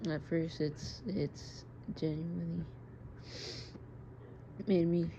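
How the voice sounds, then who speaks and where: Young woman, creaky voice, even intonation, hesitant confessional diary talk, casual wording, noticeable background noise. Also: on the low side. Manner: hesitant, halting, informal with swearing. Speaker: audio diarist. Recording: muffled home recording, clear background noise. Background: background noise, friends' voices.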